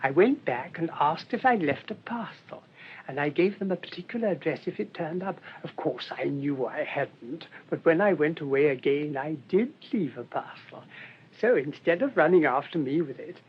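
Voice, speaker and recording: An actor playing a mild, shrewd English priest in a radio drama, speaking in a gentle, rambling, politely hesitant way. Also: male voice, lively intonation, normal speed, slightly rough. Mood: mildly explaining. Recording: dull lo-fi radio recording, rumbling noise.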